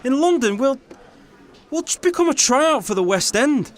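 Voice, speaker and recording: An actor playing a principled, argumentative playwright and director in an audio drama. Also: male voice, very high voice, very animated, moderate pace. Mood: indignant. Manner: argumentative, indignant. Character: principled, combative male playwright and theatre director.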